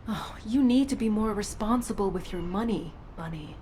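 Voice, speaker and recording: A woman's voice: medium pitch, moderate pace, heavy background noise, natural voice. Woman voicing a stern, secretive female operative. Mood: resigned, firm. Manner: controlled, authoritative, dry. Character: stern, secretive female sci-fi intelligence operative, coolly menacing. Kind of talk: video game voice acting.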